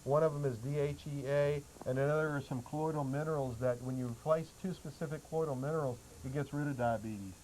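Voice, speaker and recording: A man speaking as a talk-show guest, explaining health remedies in a halting, matter-of-fact way. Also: medium pitch, steady tone, a little mellow. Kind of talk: explanatory talk-show answers, some reading aloud. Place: public access television studio. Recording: noisy old public access TV audio.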